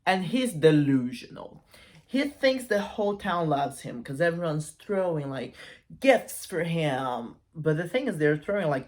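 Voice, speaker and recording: Chatty, campy man breaking down a story. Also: on the high side. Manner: chatty, campy, acts out quotes with sassy asides. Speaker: book channel host. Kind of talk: casual literary analysis.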